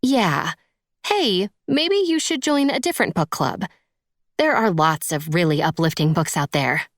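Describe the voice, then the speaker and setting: A woman's voice: on the low side, very animated, unhurried, no room sound, studio quality. A woman voicing an awkwardly compassionate, sardonic young stepdaughter in an audiobook, speaking in a hesitant, placating way.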